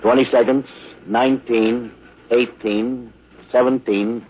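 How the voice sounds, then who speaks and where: A gruff American spaceship captain. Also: male voice, medium pitch, steady tone, slow pace. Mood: commanding. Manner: clipped orders, gruff authority. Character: male spaceship captain, commanding, short-tempered but loyal. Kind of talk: 1950s radio drama acting. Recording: dull, noisy old radio recording.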